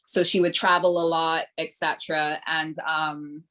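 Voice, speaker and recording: A woman's voice: deep voice, flat delivery, measured pace, fairly bright tone. A woman from Grenada with a Barbadian accent, a podcast guest talking in an earnest, reflective way with frequent ums. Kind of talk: casual interview talk.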